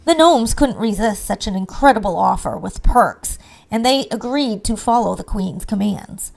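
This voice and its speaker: A woman's voice: medium pitch, animated, moderate pace, gravelly, poor audio. A woman reading a scripted fairy-tale narration as the storyteller.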